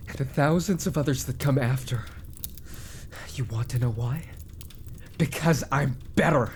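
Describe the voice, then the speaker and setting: A man's voice: mid-range voice, natural voice. Man acting an arrogant, scheming villain mage over background noise. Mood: bitter, megalomaniac. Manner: haughty, deliberate, trailing into hushed asides. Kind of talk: ASMR roleplay villain monologue.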